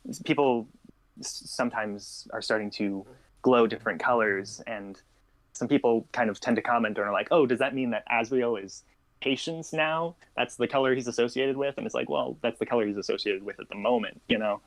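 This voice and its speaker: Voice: mid-range voice, brisk. A podcast co-host talking casually and thoughtfully, with an agreeable, informal manner. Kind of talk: casual discussion of a fan story.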